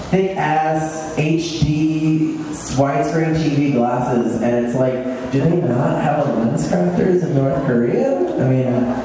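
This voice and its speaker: A man's voice: mid-range voice, distant mic. Man doing stand-up in an echoey bar. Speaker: stand-up comedian. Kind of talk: open mic stand-up set. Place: bar stage at an open mic. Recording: echoey room mic'd from afar, crowd noise.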